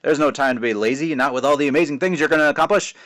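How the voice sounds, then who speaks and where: A man voicing a loud, jokey, theatrical father from a children's book, proclaiming in a booming, mock-grand way. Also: racing, natural voice. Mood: boastful, teasing. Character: loud, jokey, theatrical dad embarrassing his son. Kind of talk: expressive children's book read-aloud.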